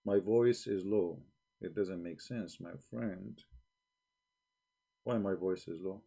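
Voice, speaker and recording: Man, low dark voice, blunt and argumentative, broken English, live-stream host on a desk mic. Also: slow, gravelly, husky. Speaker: livestream host. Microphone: desk microphone.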